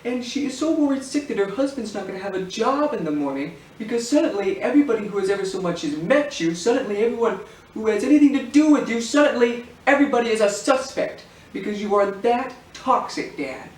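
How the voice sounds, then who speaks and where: A man's voice: sits high, expressive, a little fast, darkish tone. A man acting a bitter, wounded adult son who accuses his father in emphatic, repetitive bursts in a filmed monologue. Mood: angry. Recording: echoey room, distant mic, faint hiss.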